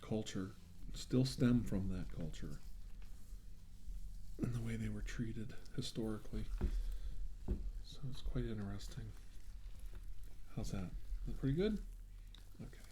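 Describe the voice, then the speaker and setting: A soft-spoken man doing an ASMR role-play as a clinician, reassuring the listener in a soothing, unhurried, conversational way. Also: lowish voice, expressive, a little hiss or hum. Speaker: ASMR role-play performer acting as a clinician treating a patient.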